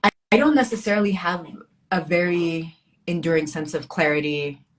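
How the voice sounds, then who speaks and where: Low-voiced woman poet in casual conversation. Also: normal speed, rough recording. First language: Arabic and English. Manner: reflective, informal, wryly humorous. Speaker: guest poet and author.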